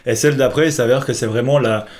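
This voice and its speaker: A man's voice: medium pitch, fast, a little mellow. A young man co-hosting a podcast, casually telling an anecdote to a friend in slangy, laughing bursts. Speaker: podcast co-host.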